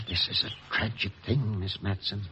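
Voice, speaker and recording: An actor playing a gentle, devout mission priest who speaks softly and formally, in 1940s radio acting style. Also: male voice, fairly low pitch, fairly even intonation, slow pace, exaggerated voice. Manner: soft-spoken, solemn, formal. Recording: dull lo-fi vintage radio recording.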